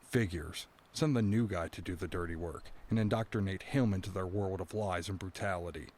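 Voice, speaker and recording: A man's voice: deep voice, a little fast, a little mellow, slight background noise, lightly acted. An actor playing a dread-filled prisoner awaiting his doom, delivering a brooding first-person monologue in an audio drama. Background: background music.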